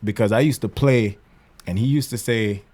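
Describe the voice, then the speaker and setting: A man with a Jamaican accent, a musician turned minister, telling stories in a relaxed interview with many fillers and restarts. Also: lowish voice, normal speed. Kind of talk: candid conversational interview.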